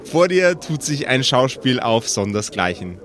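A man running an improvised tabletop game as game master, describing scenes in a dramatic, deliberate way with pauses. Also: medium pitch, very animated, a bit bright.

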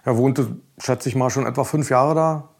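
A man's voice: medium pitch, fairly even intonation, moderate pace, a little mellow, slight background noise. A man recollecting events as a relative in a documentary interview. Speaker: interviewee, son of the victim.

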